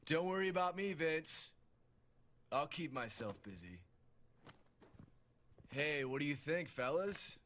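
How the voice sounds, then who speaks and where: A man's voice: fairly high pitch, expressive, slow pace, long pauses, fairly bright tone. A man playing a cocky, nonchalant young wrestling newcomer in a video game cutscene, with a sarcastic manner. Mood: sarcastic, defiant.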